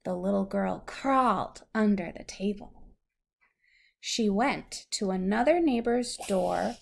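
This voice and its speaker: A woman's voice: medium pitch, slow pace, gravelly. A woman reading a story aloud to a young child, patiently explaining words in simple terms. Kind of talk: slow read-aloud storytelling with questions to a child.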